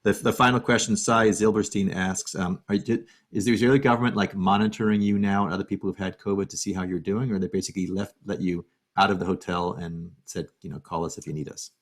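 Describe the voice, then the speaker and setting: A man hosting an interview, asking relaxed, halting questions for a group. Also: low-pitched. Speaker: interviewer host.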